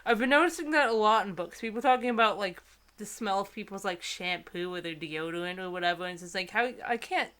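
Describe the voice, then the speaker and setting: A young woman with a bright, forward voice at an average pitch for a woman. She reads book excerpts aloud casually and comedically, dropping in incredulous, giggly commentary as she goes. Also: quick pace.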